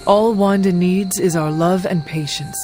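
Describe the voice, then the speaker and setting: A woman playing a stoic, earnest young hero in a video game, with a formal, measured way of speaking. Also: lowish voice, fairly even intonation, darkish tone, faint music behind, close to an everyday voice. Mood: calm. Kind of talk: dramatic video game acting.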